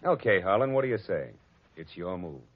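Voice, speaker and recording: A man's voice: deep voice, big pitch swings, fairly quick, no room sound. An actor playing a cynical, wisecracking male private detective in a 1940s radio drama, narrating in a tough, dry manner. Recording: dull old radio broadcast recording.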